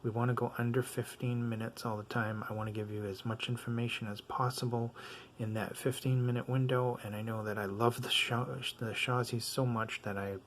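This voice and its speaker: A man's voice: medium pitch, level tone, fairly quick, slight background noise. A man with a mixed Floridian and New England accent, reviewing earphones at his desk in a rambling, filler-heavy, enthusiastic way. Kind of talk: casual product review.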